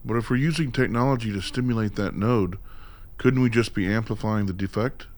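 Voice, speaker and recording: A man's voice: on the low side, a little fast, darkish tone, close to an everyday voice. An actor playing a skeptical, reluctant civilian man in a radio play, talking in a casual, wry, questioning way.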